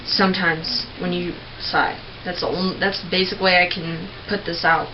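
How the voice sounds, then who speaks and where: A young woman giving a casual, rambling tutorial on screaming technique, clearing her throat often. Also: lowish voice, level tone, moderate pace. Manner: chatty, rambling, with many filler words and throat clearing. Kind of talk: casual home tutorial video. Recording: dull lo-fi home recording with faint noise.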